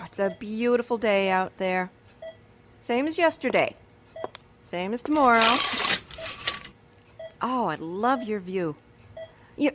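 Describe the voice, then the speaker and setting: A woman's voice: a little high, noisy background. A woman playing a chirpy, attentive caregiver making coaxing small talk in an audio drama.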